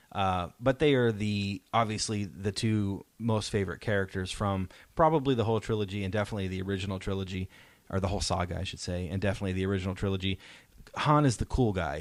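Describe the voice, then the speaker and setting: A man's voice: fairly low pitch, no room sound. A man hosting a podcast, chatting casually as a fan in an enthusiastic, emphatic way that seeks agreement. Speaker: podcast host.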